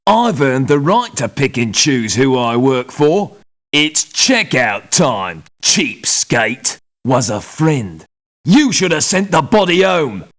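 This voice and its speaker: A man voicing a cantankerous veteran mercenary in a video game, blunt, sarcastic and quick to scorn. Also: fairly high pitch, fairly bright tone, exaggerated voice. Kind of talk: video game character voice lines.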